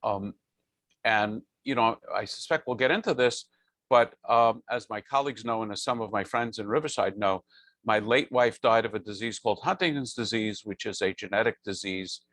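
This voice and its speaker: A man's voice: fairly low pitch, normal speed, fairly bright tone, dry recording. A man in his sixties, a rabbi, telling his own story with earnest, wry thoughtfulness on a video call. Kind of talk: personal storytelling on a panel. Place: home study with bookshelves. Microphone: video call with lapel mic.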